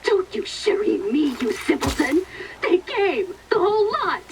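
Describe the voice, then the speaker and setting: A woman's voice: very high-pitched, very animated, a little slow, slight background noise, full character voice. A woman playing a bossy, haughty, exasperated villainess in a cartoon game, theatrical and scolding. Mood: panicked scolding. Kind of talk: cartoon video game acting.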